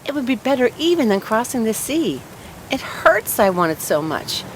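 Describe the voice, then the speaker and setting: Woman voicing an eager young fir tree, high and lively. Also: normal speed, a little hiss or hum, rough recording. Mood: impatient yearning. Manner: exclaiming and questioning, wistful. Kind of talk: children's storybook character voice.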